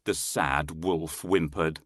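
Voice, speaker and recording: A man's voice: rumbling low, fairly even intonation, takes it slowly, a bit bright, no echo, studio quality. A man narrating a scripted children's bedtime story as the storyteller.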